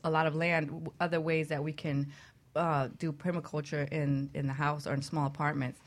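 Female co-host, low-pitched voice, informal conversational delivery for a talk radio show. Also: level tone, normal speed. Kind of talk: casual banter and show introduction.

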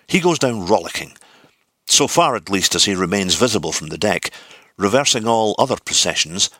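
A man reading literary narration from a script, with deliberate, theatrical phrasing. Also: fairly low pitch, pushed and bright, dry recording. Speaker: audiobook reader.

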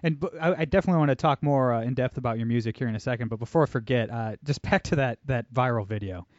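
A man hosting a podcast, chatty and energetic, with lots of fillers. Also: medium pitch, rapid-fire, no room sound, lo-fi sound. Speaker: podcast host. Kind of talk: casual interview talk.

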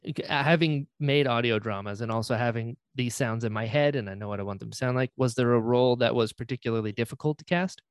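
A man with a medium-pitched voice hosts a casual interview. He asks his questions conversationally, with a joking, self-deprecating manner, and the recording is very dry. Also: quick pace, hardly any pauses, clean tone, dry recording. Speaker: podcast host, interviewer.

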